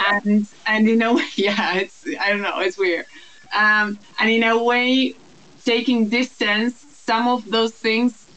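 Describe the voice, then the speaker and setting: A woman's voice: medium pitch, a bit bright, poor audio. A woman, talking as an interview guest in a reflective, casual way with frequent restarts. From Uruguay.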